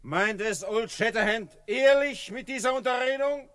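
An actor playing a proud, cunning middle-aged chief in a radio drama, speaking solemnly and with haughty formality. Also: male voice, very high voice, normal speed, a bit bright, clear, resonant tone, no room sound. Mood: haughty, wary. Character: proud, cunning Comanche chief of about fifty. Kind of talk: German radio drama acting.

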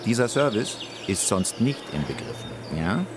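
An actor playing a brusque, official border customs officer who is dryly obliging, in a radio play. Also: male voice, on the low side, sing-song, moderate pace, reverberant, noisy background. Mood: dryly amused. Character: gruff but helpful male Swiss border customs officer.

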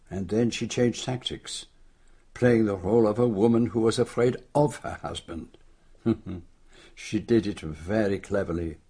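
A self-assured foreign detective in a radio drama. His French-accented voice is low and rather husky. He sets out his reasoning formally and deliberately, with careful courtesy. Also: a little mellow.

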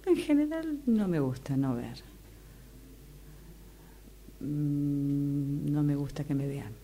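A woman's voice: deep voice, lively intonation, slow, mellow. A woman talking casually in a workshop exercise. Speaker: radio drama workshop participant. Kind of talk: casual, unhurried chat.

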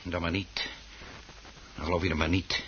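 An actor playing a stubborn, obsessive hobby gardener and exasperated husband in a radio play, with a defensive, insistent way of talking. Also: male voice, deep voice, little pitch movement, moderate pace, close to an everyday voice. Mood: weary, dismissive. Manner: defensive, insistent, muttering to himself when alone. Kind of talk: Dutch radio play acting. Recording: dull, noisy old radio recording.

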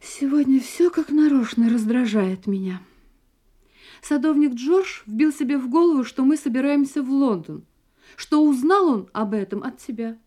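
An actress playing an affectionate, anxious young wife in an audio play, with expressive theatrical delivery. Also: female voice, sits high, moderate pace. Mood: uneasy. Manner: expressive theatrical delivery, swinging from playful teasing to uneasy hushed asides. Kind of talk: Russian audio play acting.

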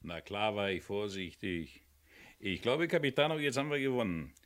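An actor playing a breezy, roguish sidekick in a radio crime drama, chatty and cajoling. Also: male voice, fairly low pitch, normal speed, a bit bright, natural voice. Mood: confident, breezy. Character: breezy, roguish male sidekick and treasure hunter.

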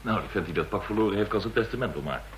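A man's voice: lowish voice, animated, rapid-fire, slight background noise, close to an everyday voice. An actor playing a blunt male army officer challenging an expert in a radio drama.

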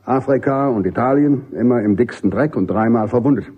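An actor playing a middle-aged American army general in a German radio drama, jovial, authoritative and emphatic. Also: male voice, medium pitch, brisk, slightly rough, phone-quality sound, natural voice. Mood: genial, welcoming. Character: commanding American army general, genial but insistent.

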